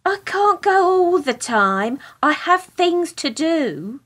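A woman's voice: very high-pitched, sing-song, slow, fairly bright tone, heavily acted. A British woman playing an earnest, starstruck theatre fan in a radio play, prim and gushing.

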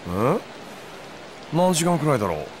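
An actor playing a laid-back, streetwise moneylender in a Japanese video game, talking in a casual, nonchalant way. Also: male voice, fairly high pitch, sing-song, normal speed, background noise. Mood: sheepish, carefree. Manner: casual, nonchalant, rough-edged.

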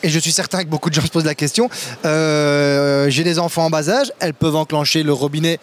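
A man in his thirties hosting a casual product demo at a trade fair, lively and questioning, with some stammers. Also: on the high side, a little fast. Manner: lively, enthusiastic, asks questions, stammers at times. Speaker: kitchen store host. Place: large indoor trade fair hall. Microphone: handheld mic.

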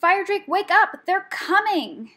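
A woman's voice: high voice, lively intonation, moderate pace, a bit bright, pitched above the performer's own voice. Woman putting on a peevish, snappish female rat. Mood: urgent, indignant. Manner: muttering, hissing, exclaiming indignantly. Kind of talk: audiobook character voice.

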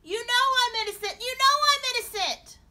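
A woman's voice: very high-pitched, moderate pace, bright, forceful tone, voice pushed up, exaggerated voice. A woman voicing a frantic, guilty boy in a storybook reading, pleading and whining excuses.

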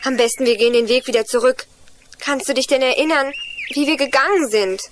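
Earnest young girl played by a woman, high voice. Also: a little fast, slight background noise, natural voice. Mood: determined. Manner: eager, explanatory storytelling. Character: Juliane, earnest young girl lost in forest. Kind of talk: 1980s children's radio play acting.

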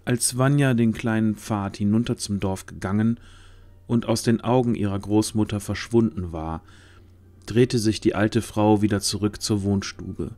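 A man's voice: lowish voice, a little mellow. A man narrating a scripted fantasy audio drama as its storyteller.

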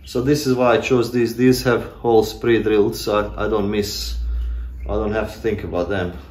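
A man's voice: fairly low pitch, level tone, normal speed, a little mellow. A man in his thirties vlogging from a stone workshop shed, chatting casually and self-deprecatingly with many fillers while he works, on a phone held at arm's length. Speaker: homesteading vlogger. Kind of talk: casual talk to camera while working. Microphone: handheld phone camera at arm's length. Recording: echoey shed with faint background noise.

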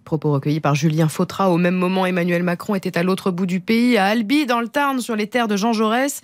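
A woman's voice: mid-range voice, a little fast, no room sound. Female radio newsreader, thirties, scripted bulletin delivery, very dry studio acoustic. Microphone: close studio microphone with headset monitoring.

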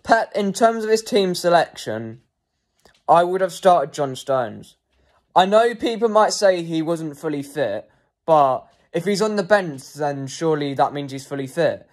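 A man's voice: a little high, big pitch swings, unhurried. A young man giving casual post-match player ratings as a football fan, hesitant with frequent ums and self-corrections.

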